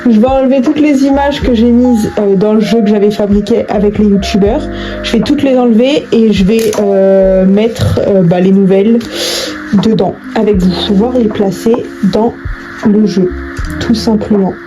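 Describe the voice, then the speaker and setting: A woman's voice: medium pitch, fairly even intonation, moderate pace, low-quality recording. A woman chatting informally to viewers as she does a craft, hesitant and often repeating herself or trailing off. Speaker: video host doing a craft tutorial. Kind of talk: casual chat to viewers while crafting. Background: background music.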